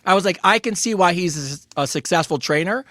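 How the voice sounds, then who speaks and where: A man's voice: high-pitched, lively intonation, brisk, a bit bright, no echo, clean studio sound. A man co-hosting a podcast in casual chat, enthusiastically telling stories and praising his partner. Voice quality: enthusiastic storyteller, hypes his co-host. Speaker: podcast co-host.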